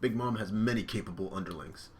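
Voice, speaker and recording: A calm, loyal fishman warrior speaks in a steady, measured voice, as a man reads him from a comic. His tone stays low and level. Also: slight background noise, lightly acted.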